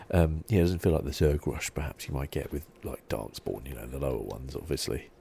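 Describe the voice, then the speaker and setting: A soft-spoken man giving relaxed, casual ASMR commentary over a video game, musing as he plays. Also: rumbling low, moderate pace, gravelly, slight background noise. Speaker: gaming channel host.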